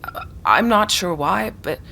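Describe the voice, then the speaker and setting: A woman's voice: mid-range voice, lively intonation, a little slow, vocal fry, bright, forceful tone, slight background noise, heavily acted. An actress playing an earnest young woman who explains her suspicions insistently, in naturalistic audio drama dialogue. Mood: urgent, suspicious. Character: earnest young woman convinced of a tech conspiracy.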